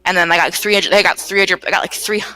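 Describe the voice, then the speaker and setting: A woman's voice: fairly low pitch, fairly even intonation, fast, bright, forceful tone, distant mic. A woman in a casual chat about her creative projects, rambling and circling back on her words as she goes. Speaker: game developer, writer and voice actor.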